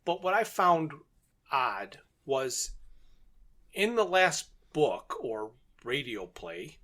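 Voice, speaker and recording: A man's voice: a little high, sing-song, takes it slowly, a bit bright. A man co-hosting a podcast, casually recapping and discussing a story with wry, amused asides. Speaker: podcast co-host. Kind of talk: casual book and radio drama discussion.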